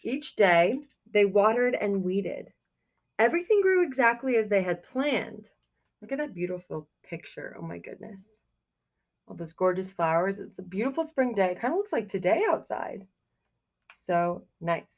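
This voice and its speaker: Female children's read-aloud teacher. Animated intonation, mid-range voice, encouraging, asks the listeners questions. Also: medium pitch, normal speed. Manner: expressive, encouraging, exclaims softly over pictures. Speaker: teacher reading a picture book aloud to young children.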